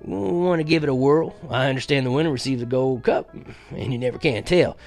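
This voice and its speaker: A man voicing a kindly, excitable old country grandfather in a storybook, with a folksy, emphatic way of talking. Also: mid-range voice, fairly quick, gravelly, faint music behind, close to an everyday voice. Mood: earnest, eager.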